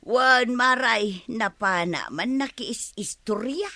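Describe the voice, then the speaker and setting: Gossipy village neighbour: Ilocano accent, high bright voice, drawn-out chatter, radio comedy. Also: female voice, expressive, no room sound, exaggerated voice. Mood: chatty, earnest.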